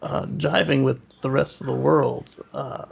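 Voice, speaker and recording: A man's voice: medium pitch, level tone, unhurried, gravelly, lo-fi sound, a little hiss or hum. A man co-hosting a podcast in casual, opinionated discussion, often repeating words as he feels out a point. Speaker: podcast co-host.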